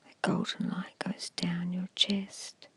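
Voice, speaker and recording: Slow, breathy whispering woman. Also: on the low side, completely flat delivery, no room sound. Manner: soothing, hushed, measured, with short phrases and pauses. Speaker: hypnosis and meditation guide. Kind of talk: whispered ASMR guided meditation.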